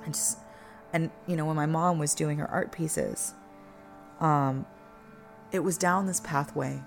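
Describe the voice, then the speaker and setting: A woman telling a personal story casually and haltingly, searching for her words. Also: low voice, over music.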